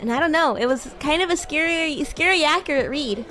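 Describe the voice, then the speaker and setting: A woman roleplaying a playful, bratty demon classmate on a livestream, chatting in a halting, improvised way. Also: high-pitched, expressive, sharp tone, soft background music. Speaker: streamer and VTuber host.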